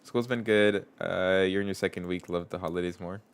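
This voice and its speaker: Male streamer, stuffy nose, low-ish smooth voice, casual think-aloud coding chat. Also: fairly even intonation, brisk. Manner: thinks aloud, reads chat aloud, trails off and restarts. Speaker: streamer and game developer.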